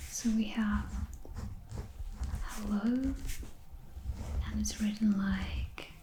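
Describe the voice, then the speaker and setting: A Czech-accented woman whose first language is Czech, giving a soft-spoken ASMR lesson in a whispery voice with hesitant ums and likes. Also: mid-range voice, steady tone, very slow, low-quality recording. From Czech Republic. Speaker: ASMR host.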